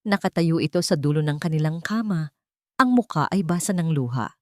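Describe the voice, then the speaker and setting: A woman narrates a dramatic audio story with steady suspense. Her voice sits on the low side yet moves expressively up and down. The recording is dry and close, with no room around it. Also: brisk, no echo.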